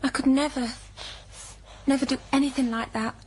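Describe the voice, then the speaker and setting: Actress as a desperate young Northern English mother, high-voiced. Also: fairly even intonation, moderate pace, noisy background, close to an everyday voice. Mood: hushed despair. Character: poorhouse ghost, desperate young unwed mother, defiant then despairing. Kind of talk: radio drama acting.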